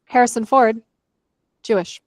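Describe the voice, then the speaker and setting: A woman's voice: fairly high pitch, a little slow, no echo. A woman co-hosting a book club podcast, moving between casual, opinionated chat and read-aloud chapter summaries with dry humor and easy swearing.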